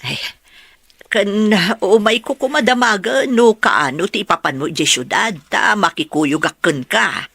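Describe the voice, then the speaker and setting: A woman's voice: mid-range voice, animated, unhurried, creaky, fairly bright tone, breathy edge, dry recording, heavily acted. A woman acting an easygoing villager in an audio drama, with a Filipino Ilocano accent. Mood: casual.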